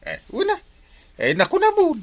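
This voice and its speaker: A man with an Ilocano accent acting an excitable village captain in a comedy radio drama, full of drawn-out exclamations. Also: very high voice, full character voice. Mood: explaining, animated.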